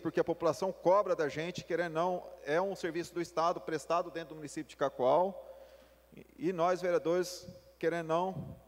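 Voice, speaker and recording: A man in his thirties, a city councillor giving a formal speech at a podium, halting and repeating words as he talks. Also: a little high. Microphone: podium gooseneck mic. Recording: long hall reverb.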